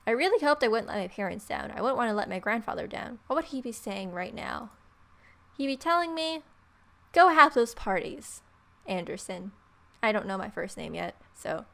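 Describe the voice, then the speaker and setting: A woman's voice: on the high side, creaky, a bit bright. A woman hosting a let's play, reading a visual novel aloud with playful, irreverent casual commentary.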